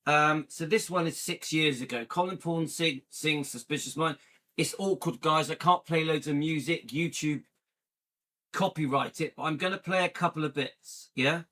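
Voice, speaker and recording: A man's voice: fairly high pitch, normal speed, bright, forceful tone. A man hosting a vlog, chatting casually and rambling to his viewers while browsing videos. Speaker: vlog host.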